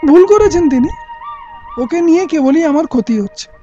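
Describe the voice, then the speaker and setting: Imperious, distrustful king, played by an actor for audio drama; high voice on a dull lo-fi recording with music. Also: lively intonation, moderate pace, warm tone. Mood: brooding, displeased. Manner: formal, imperious, questioning. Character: stern, suspicious king, guarded about his rebellious heir. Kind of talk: scripted Bengali audio play acting.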